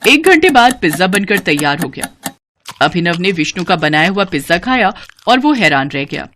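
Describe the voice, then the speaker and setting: A woman's voice: fairly low pitch, sing-song, moderate pace, fairly bright tone, slight background noise. A woman narrating a scripted moral story for children as a storyteller.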